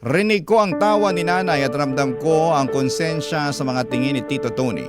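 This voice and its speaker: A man hosting a radio drama, reading a listener's letter aloud as slow, emotional narration. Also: a little high. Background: loud background music.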